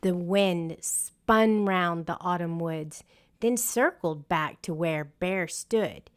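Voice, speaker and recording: A woman narrating a children's picture book in an expressive, deliberate storyteller's manner. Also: fairly low pitch, clean tone. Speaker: storyteller and channel host.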